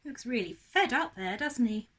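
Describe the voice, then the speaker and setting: A woman reading a story aloud as a primary teacher would, expressively and deliberately for young children. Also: a little high, animated, fairly bright tone, breathy edge.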